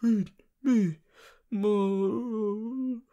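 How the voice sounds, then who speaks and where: A man voicing a goofy, melodramatic teenage anime boy in a fan audio roleplay, whiny and drawn-out, talking to the listener. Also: sits high, steady tone, dark tone, lo-fi sound, exaggerated voice. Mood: melodramatic despair.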